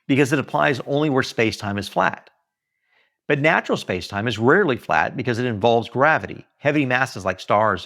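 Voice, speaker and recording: Expressive man reading with widely varied pitch. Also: gravelly. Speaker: author reading own book aloud. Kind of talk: expressive audiobook-style reading.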